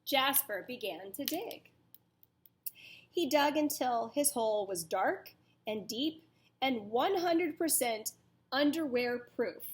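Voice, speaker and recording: A woman teacher reading a picture book aloud to children in a deliberate, dramatic way, pausing to ask them questions. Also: high-pitched, animated. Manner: deliberate, dramatic storytelling delivery.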